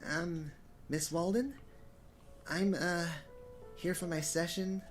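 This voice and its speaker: A man voicing an awkward, mild-mannered teenage boy in an animated comic dub, halting and apologetic. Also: a little high, over music. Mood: shy, polite.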